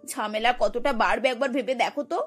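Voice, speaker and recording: A woman's voice: fairly high pitch, fairly quick, soft background music, close to an everyday voice. A woman voicing a worried, wavering middle-aged mother-in-law in a cartoon, fretful and emotional. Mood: reproving. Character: worried, wavering mother of grown sons, easily swayed mother-in-law. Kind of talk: cartoon voice acting.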